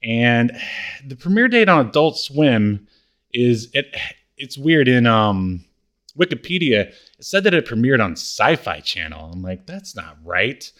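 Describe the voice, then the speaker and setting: A man's voice: medium pitch, very animated, measured pace, a bit bright. A man co-hosting a podcast, chatting casually about cult media and often searching for his words. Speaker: podcast co-host.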